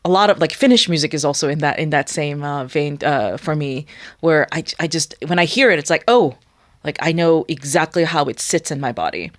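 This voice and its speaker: A woman's voice: sits low, a little fast, no room sound, clean studio sound. Reflective woman, first language Korean. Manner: thoughtful, searching for words with many fillers. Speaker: guest musician. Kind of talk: reflective interview talk.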